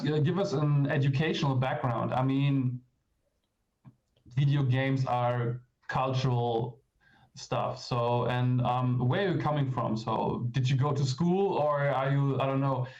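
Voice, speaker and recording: A man's voice: medium pitch, steady tone, a little slow, a little mellow. German man hosting, in dull roomy audio. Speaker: interviewer and host of a games event. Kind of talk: casual livestream interview.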